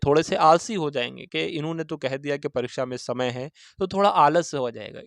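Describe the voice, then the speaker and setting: A man's voice: a little high, no room sound, studio quality. A man giving an informal explainer to viewers as a video host, repeating himself and often checking in with short tags. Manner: repetitive, with frequent check-in tags. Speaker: exam-news video host.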